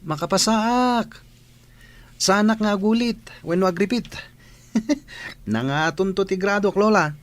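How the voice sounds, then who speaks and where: A man's voice: high-pitched, measured pace, long pauses, no echo. A man playing a cocky, lazy young grandson, boastful and breezy, in a comedy radio drama. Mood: boastful, pleading.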